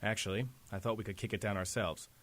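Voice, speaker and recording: Man acting a bright, confident superhero leader. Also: lowish voice, steady tone, quick pace, no room sound, natural voice. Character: confident, brisk, wry superhero team leader. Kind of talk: fan audio drama acting.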